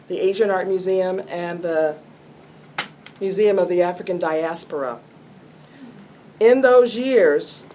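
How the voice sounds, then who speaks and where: A woman's voice: on the low side, a little hiss or hum. An older woman, an arts commissioner, speaking earnestly and emphatically at a city hall community meeting. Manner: emphatic, earnest, self-described loud voice. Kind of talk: public meeting statement.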